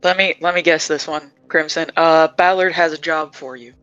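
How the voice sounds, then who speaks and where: A sardonic, quick-witted vampire talks in a bright, smooth voice, as a player puts him on. The sound is dull and lo-fi, with faint music in the background. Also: mid-range voice, fairly even intonation, close to an everyday voice.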